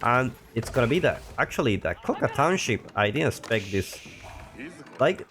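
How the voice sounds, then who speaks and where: A man's voice: medium pitch, very animated, normal speed, fairly bright tone, slight background noise. A man casually commentating a strategy game match, a little sick and talking in a rambling, chatty way. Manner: rambling, chatty, frequent restarts and asides. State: a little sick, voice deeper than usual. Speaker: game caster.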